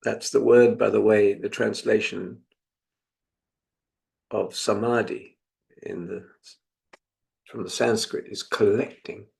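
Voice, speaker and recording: An elderly British man in his seventies teaches meditation. His voice is heavily creaky, full of fry, and he moves very slowly from phrase to phrase. He guides reflectively, leaving pauses as he gives gentle instruction. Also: fairly low pitch, flat delivery. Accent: British English. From England. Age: 70s.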